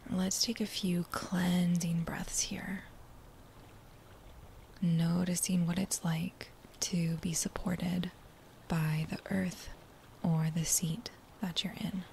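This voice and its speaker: A woman guiding a body scan meditation in a soft, breathy near-whisper, with a gentle, soothing manner and unhurried phrases. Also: on the low side, little pitch movement. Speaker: meditation guide. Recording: faint background noise.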